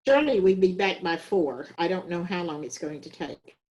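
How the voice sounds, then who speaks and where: A woman's voice: on the low side, expressive, moderate pace, darkish tone, muffled recording. An older woman, a resident in a group video call, talking casually in a plain-spoken, practical way. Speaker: senior living resident in theater group. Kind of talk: casual meeting talk.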